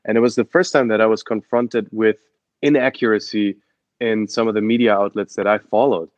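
A man's voice: on the low side, steady tone, fairly quick, dry recording, lo-fi sound. A young man from Germany with a German accent, telling his story as an interview guest with many fillers and restarts. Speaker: guest, adventure photographer and expedition guide.

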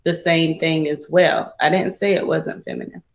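A woman's voice: low voice, fairly even intonation, moderate pace, highs cut off. A woman speaking firmly and confidently as a guest in a casual group discussion. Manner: firm, self-assured.

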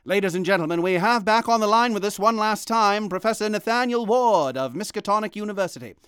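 A man's voice: high-pitched, fairly bright tone, clean tone, no echo, clean studio sound. A man playing a grave, formal news anchorman in a vintage-style radio drama. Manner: grave, formal, deliberate announcing. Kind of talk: vintage radio newscast acting.

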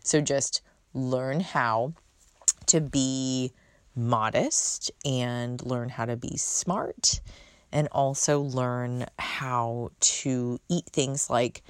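Very low-voiced chatty woman podcaster. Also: dry recording. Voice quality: soothing voice. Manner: chatty, unfiltered, swears casually. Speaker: podcast host. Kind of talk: casual solo podcast chat.

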